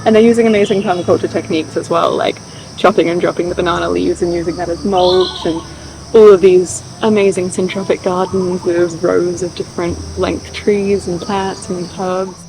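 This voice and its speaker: A woman's voice: on the low side, fairly even intonation, moderate pace, noisy background. A woman vlogger giving an enthusiastic, casual, unscripted tour. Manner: enthusiastic, admiring.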